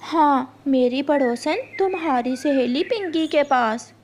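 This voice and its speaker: Woman as a high-voiced, scheming cartoon bird temptress. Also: slow, background noise. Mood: confiding, gossipy. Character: bold, scheming female bird seductress and gossip. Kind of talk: Hindi cartoon story acting.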